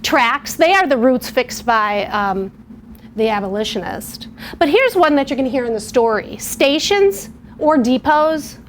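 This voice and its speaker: A middle-aged woman teacher, giving a lesson and reading a story aloud to young children in a patient, clear way. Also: high-pitched, lively intonation, unhurried, a little hiss or hum. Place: television studio or classroom.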